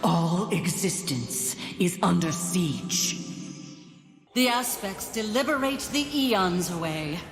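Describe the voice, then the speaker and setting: An actress playing a solemn, proud celestial goddess in a video game, speaking in grave, poetic declamation with a reverberant effect. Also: female voice, lowish voice, sing-song, slow, exaggerated voice. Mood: proud, defiant. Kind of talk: theatrical video game character voice lines. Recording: long echoing reverb under music.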